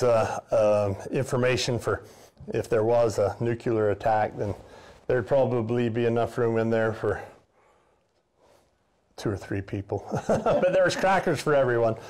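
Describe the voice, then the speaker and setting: A man's voice: mid-range voice, a little slow, a little mellow. An older man, a former custodian, giving a casual guided tour of an old school basement in a rambling, folksy way with many fillers and restarts. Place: basement boiler room of an old school building.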